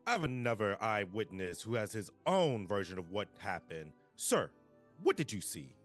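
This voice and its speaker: A man playing an earnest, brisk female TV field reporter in a live table read. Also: fairly low pitch, very animated, fairly bright tone, faint music behind. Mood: inquisitive, bright.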